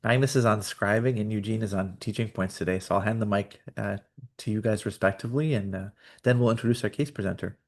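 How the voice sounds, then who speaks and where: Low-voiced upbeat male host. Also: fast. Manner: upbeat, chatty, many fillers. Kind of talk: online case conference.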